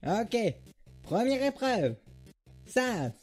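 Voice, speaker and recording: A bombastic skeleton in a comic dub, eager as a child. The voice is high and mellow, with big theatrical swings, over music. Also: male voice, sing-song, drawn-out words, exaggerated voice. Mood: enthusiastic, proud. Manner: theatrical, declamatory. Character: bombastic, childishly enthusiastic male skeleton, friendship-obsessed.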